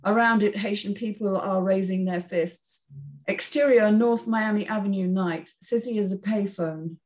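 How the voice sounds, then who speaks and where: A woman's voice: mid-range voice, normal speed, darkish tone, rough recording. A woman narrating stage directions at a screenplay table read, in a scripted, read-aloud style.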